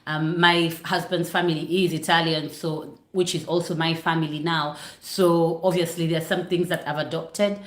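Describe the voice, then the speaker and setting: A woman from Uganda talks casually to her livestream viewers from her living room. Her voice sits fairly low and bounces around an echoey room. She sounds chatty and apologetic, easily sidetracked. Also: steady tone, normal speed, few pauses. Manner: chatty, apologetic, distracted by lighting. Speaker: vlogger hosting a livestream. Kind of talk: casual chat to a live audience. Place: home living room near a Christmas tree. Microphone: computer setup for a livestream.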